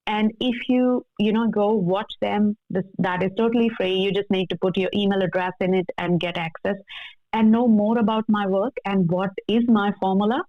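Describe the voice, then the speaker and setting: A woman's voice: mid-range voice, fairly even intonation, normal speed, dry recording. Woman guest on a phone-like connection. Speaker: love coach, podcast guest. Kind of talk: conversational interview, motivational. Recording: thin phone-like call audio.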